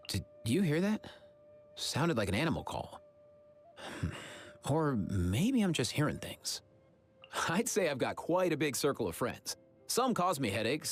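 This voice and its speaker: Lively, friendly young adventurer. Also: male voice, on the high side. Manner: upbeat, casual, chatty. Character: friendly, easygoing young male animal-loving adventurer. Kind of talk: video game character lines.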